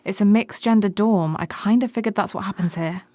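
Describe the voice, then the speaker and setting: A woman's voice: mid-range voice, brisk, dark tone, no room sound, lightly acted. An actress playing a brash, foul-mouthed, prickly and weary woman in a radio drama, speaking bluntly and sardonically. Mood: irritated, weary. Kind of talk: naturalistic radio drama acting.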